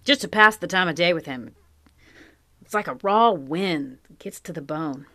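An actress playing a plain-spoken, regretful middle-aged farm wife in a live audio drama, with earnest period rural speech. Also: female voice, fairly low pitch, animated, normal speed, pushed and bright, dry recording. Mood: somber. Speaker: Mrs Hale. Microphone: home microphone in a closet.

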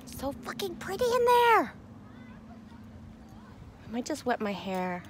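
Slow-talking woman, faint outdoor noise. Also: sits high, wildly swooping pitch. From Canada. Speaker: vlogger. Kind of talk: rambling, musing casual chat. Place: outdoors on a lakeside beach. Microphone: handheld phone.

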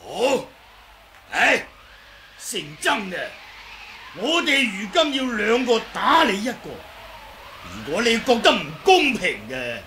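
A garrulous old martial artist, with a bright high voice. He boasts and teases in unhurried Hong Kong Cantonese, in 1980s radio drama style, on a slightly noisy recording. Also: sing-song, exaggerated voice. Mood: feigned reasonableness. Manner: chattering, teasing, drawn-out.

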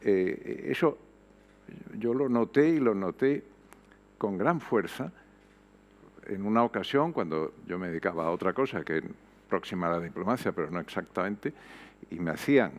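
A man's voice: sits low, expressive, normal speed, long pauses. An older man speaking as a panel guest on an auditorium stage, reflective and emphatic, with frequent hesitations. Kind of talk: panel discussion. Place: auditorium stage before an audience. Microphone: headset mic.

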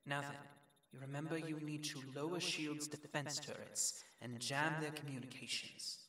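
A silky, scheming trickster god, played by a man for an audio roleplay. His formal, deliberate words come with a crisp bright edge, some creak and a strong echo. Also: mid-range voice. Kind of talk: fan audio roleplay acting.

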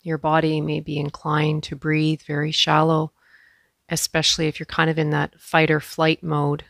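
A woman leading a guided meditation as a yoga instructor, speaking gently and unhurriedly with pauses. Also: low voice, completely flat delivery, vocal fry, no echo, clean studio sound.

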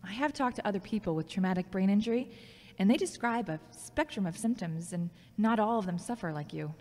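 A woman's voice: medium pitch, a little fast, darkish tone, natural voice. An actress playing a frank, logical, critical yet caring former girlfriend in a scripted audio drama. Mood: skeptical. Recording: long hall-like reverb.

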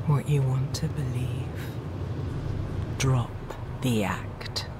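A woman playing a seductive, commanding demon queen in a video game, slow, deliberate and whispery. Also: a bass voice, very noisy, exaggerated voice. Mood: taunting, tempting. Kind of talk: dramatic video game acting.

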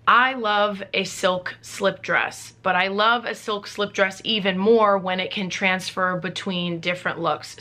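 A young woman vlogging from a living room, talking casually to the camera, with a low voice while she is sick. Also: pushed and bright. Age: young adult. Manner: chatty, upbeat, lists items quickly. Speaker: beauty vlogger. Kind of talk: casual sponsored vlog talk. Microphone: camera facing her, mid-shot.

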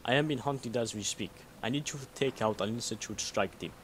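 A man playing a secretive, guarded synth copy of a Soviet spy in a game mod, explaining in a measured way. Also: medium pitch, a bit bright, lightly acted. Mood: guarded, explanatory. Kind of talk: scripted game mod dialogue. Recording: noticeable background noise.

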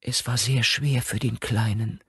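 A man's voice: medium pitch, steady tone, unhurried, fairly bright tone, slightly rough, no echo, clean studio sound, voice pushed up, exaggerated voice. A man voicing a distressed mother in a horror audiobook, tearful and pleading. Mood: hushed, sad. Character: distressed mother of a small boy. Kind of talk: audiobook character acting.

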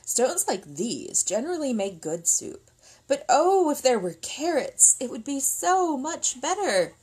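A man's voice: very high-pitched, very animated, unhurried, a little mellow, husky, pitched above the performer's own voice, full character voice. A woman voicing crafty, cheerful soldiers in a storybook, coaxing and exclaiming with mock wistfulness. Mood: wistful coaxing.

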